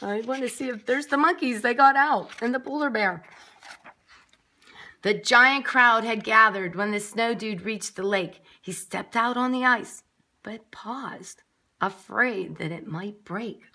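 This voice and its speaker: Female early-years teacher reading a story to little ones: bright, slow, expressive. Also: on the high side. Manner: expressive, with asides to children. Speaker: nursery school teacher. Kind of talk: picture-book read-aloud for young children. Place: classroom, indoors.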